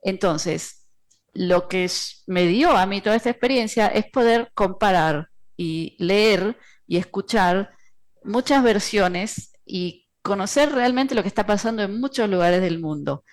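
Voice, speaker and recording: A woman from Argentina, a financial analyst speaking as an interview guest in a measured, explanatory way with many hesitations. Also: mid-range voice. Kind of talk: interview conversation.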